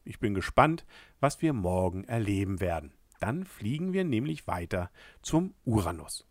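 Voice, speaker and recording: Guy reading a kids story like a letter, talks right to you, animated, dry close mic. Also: lowish voice, moderate pace, no room sound. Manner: friendly, addressing the listener directly.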